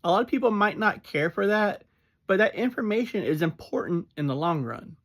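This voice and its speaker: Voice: mid-range voice, animated, a little fast, fairly bright tone. A fan in their thirties or forties giving a casual, chatty spoken review, laughing now and then.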